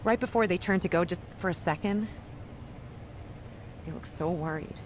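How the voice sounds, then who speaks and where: A woman voicing an anxious, soft-hearted space station crewmember in a game, earnest and naturalistic. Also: on the low side, normal speed, noisy background, natural voice. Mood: worried. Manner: earnest, worried.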